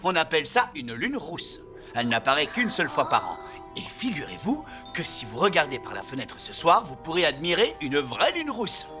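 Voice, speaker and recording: A man's voice: on the high side, huge pitch swings, normal speed. A man voicing a kindly, patient schoolteacher explaining things to small children in a cartoon, with music behind. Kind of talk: French animated cartoon dub.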